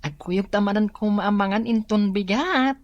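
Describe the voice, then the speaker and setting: An actress playing a wistful, admiring schoolgirl with a hesitant, drawn-out delivery and an Ilocano Filipino accent in a radio drama. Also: medium pitch, highs cut off, natural voice. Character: wistful, admiring young schoolgirl, poor classmate.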